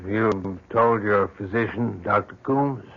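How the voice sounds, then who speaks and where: A man's voice: lowish voice, slow pace. A man playing a polite, skeptical, troubled clergyman in a radio drama, formal and hesitant. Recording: dull lo-fi radio recording.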